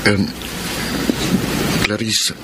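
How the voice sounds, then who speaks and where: A man playing an earnest, tender suitor in a radio drama, speaking gently and sincerely in a romantic manner. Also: drawn-out words. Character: earnest, tender suitor courting a troubled married woman. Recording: noisy outdoor ambience bed.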